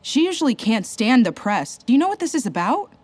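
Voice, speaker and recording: An upbeat, teasing counselor. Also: female voice, on the high side, very animated, a little fast, darkish tone, no room sound. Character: chipper female rehab counselor. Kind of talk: audio drama acting.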